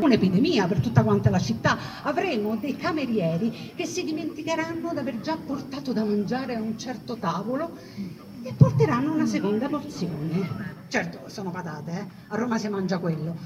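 A woman performing an ironic, colloquial storytelling monologue with dramatic pauses and emphasis. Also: a little high. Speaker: stage monologuist. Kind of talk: live theatrical storytelling monologue. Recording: distant mic, noisy live recording.

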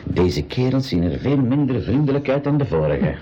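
An actor playing a calm, reassuring uncle who takes charge of young travellers in a radio drama. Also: male voice, medium pitch, moderate pace, muffled recording, background noise, lightly acted. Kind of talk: 1980s Dutch-language school radio drama acting.

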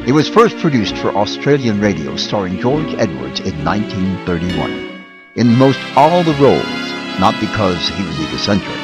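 A man's voice: on the low side, very animated, moderate pace. A man hosting an old-time radio show with scripted narration. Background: loud music bed.

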